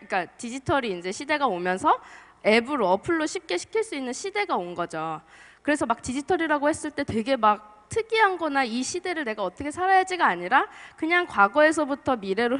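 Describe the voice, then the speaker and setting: A young woman with a cold giving a chatty conference talk as a marketer, soft-spoken with small laughs. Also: high-pitched. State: has a cold, voice strained. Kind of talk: casual conference presentation. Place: large lecture hall. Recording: long hall reverb.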